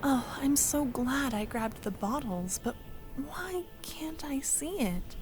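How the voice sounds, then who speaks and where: A woman playing a shy, excitable, tender young friend in love in a soft-spoken ASMR audio roleplay, breathy and often near a whisper. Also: high-pitched, slow pace, over music, heavily acted. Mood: anxious, searching.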